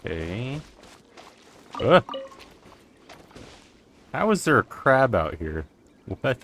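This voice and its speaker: A man giving casual, low-key playthrough commentary, rambling as he thinks aloud. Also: big pitch swings, extremely slow, fairly bright tone, slight background noise. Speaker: game streamer. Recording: game music behind the voice. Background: game music.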